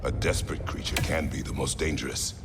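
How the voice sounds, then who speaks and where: A middle-aged man playing a stern, stoic, protective warrior father in a video game, gravelly and terse, with formal, grave phrasing. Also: fairly even intonation, brisk, big-room sound, background noise. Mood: suspicious, grave. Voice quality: gravelly, deep. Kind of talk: dramatic video game cutscene acting.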